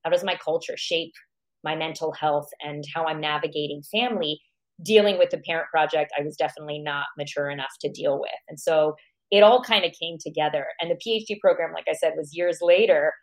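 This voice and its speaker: Low-voiced woman telling her story. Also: brisk, rough recording. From born in Iran, raised in America. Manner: thoughtful, asks herself questions aloud. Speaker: guest, psychologist. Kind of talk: reflective personal storytelling.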